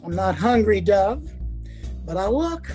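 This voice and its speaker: A man playing a fussy, excitable, easily flustered sidekick in a radio play, with a theatrical, exclaiming manner. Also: high-pitched, unhurried, faint music behind. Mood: obliging.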